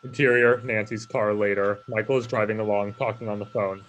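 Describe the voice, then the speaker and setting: A man's voice: fairly low pitch, steady tone, fairly quick, a bit bright, highs cut off, a little hiss or hum. A man giving scripted narration, reading out the stage directions of a screenplay.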